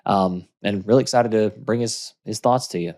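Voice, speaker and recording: A man co-hosts a podcast in a relaxed, chatty way, with a somewhat low voice. He fills his talk with ums and uhs and restarts his sentences often. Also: normal speed. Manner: easygoing, lots of fillers and restarts. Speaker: podcast co-host. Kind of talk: casual podcast chat.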